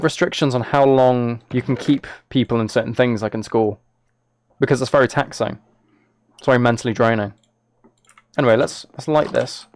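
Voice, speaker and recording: Male streamer, mid-pitched, casual and chatty, talking to viewers while playing, very dry recording. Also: darkish tone, no echo. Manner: chatty, thinking aloud as he plays. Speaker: live streamer. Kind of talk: casual live game stream chat, replying to viewers.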